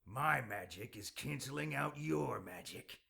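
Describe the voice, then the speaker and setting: A man playing a pompous, menacing demon lord in an audio drama, commanding and gravelly. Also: medium pitch, expressive, measured pace, bright, forceful tone, heavily acted. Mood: boastful.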